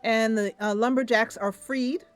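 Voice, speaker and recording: A woman streaming a roleplay game, narrating the story and chatting casually with viewers in an animated storytelling manner. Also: a little high, unhurried, faint music behind.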